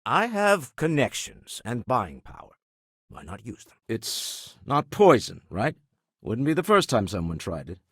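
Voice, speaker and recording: An actor playing a shrewd, wealthy older businessman in a video game, proud and wry, with a husky voice and a dry, articulate, refined delivery. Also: medium pitch, huge pitch swings, a little slow, no echo, exaggerated voice. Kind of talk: video game character dialogue.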